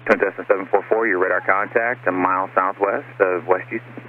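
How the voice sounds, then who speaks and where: A narrow, phone-like radio channel with faint noise carries a very low-voiced man. He is an air traffic controller, issuing procedural instructions at a rapid clip. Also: fairly even intonation. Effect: aviation radio.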